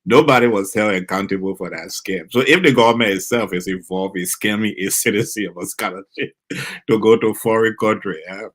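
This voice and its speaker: A man's voice: fairly low pitch, a little fast, a bit bright. A man speaking as an academic panelist on a video call, hesitant, restarting often with small chuckles. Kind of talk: panel discussion.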